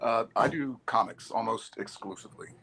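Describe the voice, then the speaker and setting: A man's voice: fairly low pitch, normal speed, vocal fry, pushed and bright, breathy edge, dry recording. A man in his forties chatting casually as a show guest, with a wry, joking manner. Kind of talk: casual chat.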